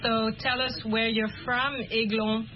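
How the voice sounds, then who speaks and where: A woman's voice: fairly high pitch, flat delivery, highs cut off, a little hiss or hum. A woman hosting a radio chat, guiding callers patiently through a conversation. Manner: encouraging, guiding the callers slowly. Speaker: radio host.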